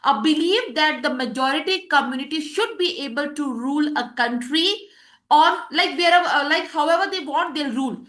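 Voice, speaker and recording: Indian english lady teacher, high bright voice, keeps saying okay, echoey room. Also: expressive, normal speed. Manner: repeats points, frequent "okay" and "students" checks. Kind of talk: live online class lecture.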